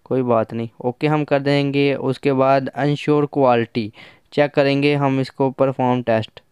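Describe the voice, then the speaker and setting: Casting: male tech reviewer, very smooth clear voice, dry close sound, casual step-by-step explaining. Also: mid-range voice, steady tone, moderate pace, a little mellow, no echo. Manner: conversational, instructional, mixes in English terms. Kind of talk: casual hands-on phone app tutorial.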